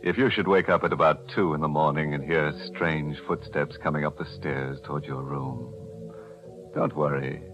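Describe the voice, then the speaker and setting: A man's voice: a bass voice, fairly even intonation, moderate pace. An actor playing a mocking, macabre radio horror host who chuckles at his own grim jokes, in 1940s radio acting. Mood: sly. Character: mocking macabre male host, ghoulish joker. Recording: dull old radio recording with music.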